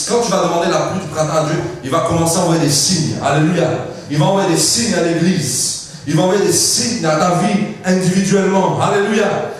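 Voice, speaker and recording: A French-speaking man preaching a Pentecostal sermon, with emphatic call-and-response, frequent hallelujahs, and quiet joking asides. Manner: lively pitch swings, drops to quiet asides and jokes. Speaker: pastor. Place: large church hall. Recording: very echoey hall, mic at a distance.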